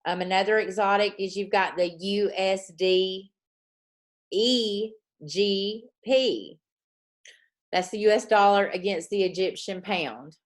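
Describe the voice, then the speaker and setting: A woman teaching a trading lesson, dictating slowly and repeating points, often checking in with "okay?". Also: medium pitch. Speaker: forex trading coach.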